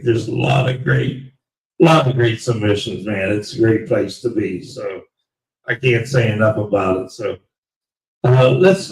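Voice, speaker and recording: A man closing out his live stream in a rambling, halting way, his voice going raspy. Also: mid-range voice, a little mellow, roomy sound, distant mic, poor audio. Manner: rambling, slow and halting with many fillers. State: voice getting raspy. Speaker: livestream host. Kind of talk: casual live stream sign-off.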